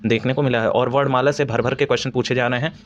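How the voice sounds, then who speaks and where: A man's voice: medium pitch, steady tone, fast, lo-fi sound, a little hiss or hum. A man giving an informal exam analysis to students as a coaching teacher, explaining hesitantly and repetitively with English terms mixed in.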